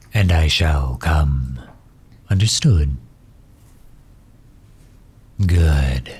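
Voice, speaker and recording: A man acts out a megalomaniac villain with an extremely low, heavily breathy and husky voice. He is formal and doting, speaking slowly and gently as he cares for his wounded enemy in an ASMR roleplay. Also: heavily acted. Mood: soft, courteous. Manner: formal, solicitous, unhurried. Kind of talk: ASMR roleplay, intimate acting.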